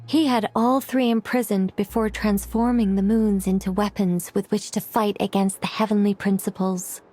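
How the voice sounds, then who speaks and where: An actress voicing a learned, composed young woman recounting ancient lore in a video game, measured and scholarly. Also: medium pitch, fairly even intonation, darkish tone, soft background music, close to an everyday voice. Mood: solemn, explanatory. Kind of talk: scripted game dialogue.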